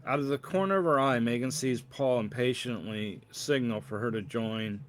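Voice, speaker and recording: A man narrating a story as its author, with a halting delivery and occasional self-corrections. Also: mid-range voice. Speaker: author reading own novel aloud.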